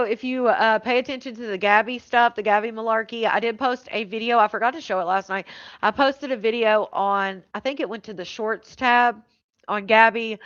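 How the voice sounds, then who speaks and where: A woman's voice: mid-range voice, a bit bright, no room sound, muffled recording. Chatty woman livestream host, dry sound. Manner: chatty, reads and answers viewer comments. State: voice tired after hours of streaming. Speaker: live stream host. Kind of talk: casual chat with live viewers.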